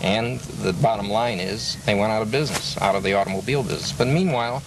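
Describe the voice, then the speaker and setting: A man's voice: fairly low pitch, fast. An older man telling stories in a conversational interview, earnest and emphatic, repeating phrases to drive a point home. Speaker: interview guest, classic car owner and restorer. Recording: noisy location recording with vehicle ambience.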